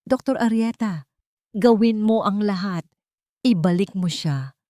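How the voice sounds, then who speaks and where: A woman's voice: mid-range voice, a little slow, mellow, no echo, clean studio sound, pitched above the performer's own voice, close to an everyday voice. A woman voicing a commanding determined grandmother. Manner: firm, commanding.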